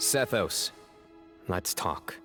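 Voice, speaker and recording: Low, resolute young officer. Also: male voice, animated, over music, exaggerated voice. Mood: serious. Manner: measured, firm, deliberate. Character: resolute, stern young desert justice officer, calm and proud. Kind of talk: video game voice acting.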